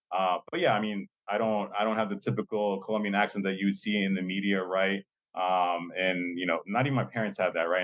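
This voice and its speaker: Talkative man with a low voice. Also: muffled recording. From Lowell, Massachusetts, USA. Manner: loose and talkative, many fillers and tag "right?". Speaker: guest, assistant dean. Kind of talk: casual interview conversation.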